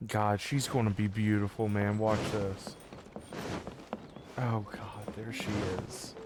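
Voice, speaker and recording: A man's voice: on the low side, level tone, takes it slowly, heavy background noise. A man chatting casually as a game streamer, slangy and exclamatory, often repeating himself. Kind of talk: casual live stream chat. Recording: game music behind the voice.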